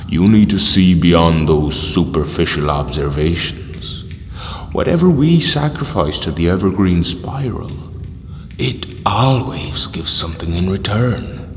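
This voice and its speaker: A man acting in an audio drama as a calm, zealous cult leader, speaking solemnly and grandly with hushed menace. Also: rumbling low, heavily acted. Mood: ominous, reverent. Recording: echoey hall with long reverb.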